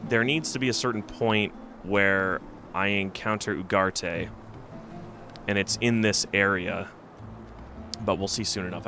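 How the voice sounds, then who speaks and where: A man with a low, gravelly voice talks his way through a retro game on stream, a bit stuffy from a cold. He rambles and thinks aloud with plenty of fillers, his tone bright and forward. Game music plays faintly behind him. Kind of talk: casual let's-play commentary.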